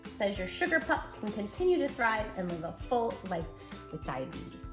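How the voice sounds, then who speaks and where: A woman's voice: medium pitch, very animated, moderate pace, music in the background. A woman hosting a children's story read-aloud, speaking expressively and affectionately straight to her young listeners. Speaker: children's storybook reader and host.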